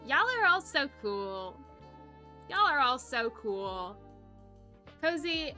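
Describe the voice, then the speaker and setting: A woman's voice: sits high, big pitch swings, takes it slowly, bright, forceful tone, soft background music. A young woman streaming, chatting casually with her viewers in a rambling, giggly way that often trips over words. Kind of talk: casual chat with live chat.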